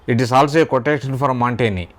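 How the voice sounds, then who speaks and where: A man with an Indian accent, hosting his own channel and talking about literature in a slow, careful way, sometimes spelling words out letter by letter. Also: mid-range voice. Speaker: YouTube host, writer.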